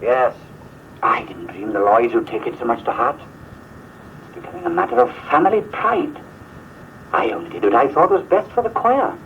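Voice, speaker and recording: An actor playing a mild-mannered, conciliatory young village choirmaster with a Welsh accent in 1950s film dialogue. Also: male voice, medium pitch, sing-song, moderate pace, pauses often. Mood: troubled, earnest. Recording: tinny old film tape, faint hiss.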